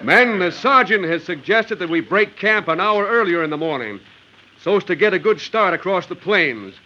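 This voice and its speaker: Stubborn frontier wagon master: higher-pitched, forceful, folksy commands, vintage radio western, dull recording. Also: male voice, lively intonation, moderate pace. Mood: commanding, confident. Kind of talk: old radio drama acting. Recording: dull, slightly noisy old radio recording.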